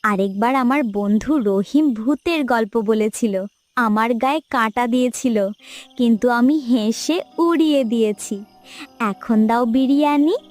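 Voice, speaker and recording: Cheeky, fearless cartoon little girl, adult female actor, high animated voice, playful exclamations, music underneath. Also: a little slow, no echo, lightly acted. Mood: boastful. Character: bold, cheeky, curious young village girl. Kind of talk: children's cartoon voice acting.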